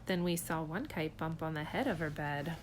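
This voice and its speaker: A preschool teacher reading a rhyming picture book aloud to children, clear, rhythmic and expressive. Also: female voice, sits low, normal speed, background noise.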